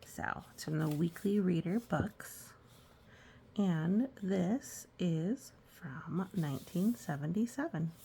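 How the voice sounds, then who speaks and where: A woman reading aloud for children in a storytime style, gently explaining with casual asides. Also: fairly low pitch, lively intonation, slow, gravelly, a little hiss or hum. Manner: gentle explaining to young listeners. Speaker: storytime reader.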